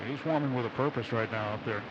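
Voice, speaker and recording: A man's voice: medium pitch, quick pace. A man giving conversational live commentary as the second voice on a radio baseball broadcast. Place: open broadcast booth at outdoor ballpark. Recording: dull, noisy outdoor radio broadcast. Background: loud stadium ambience.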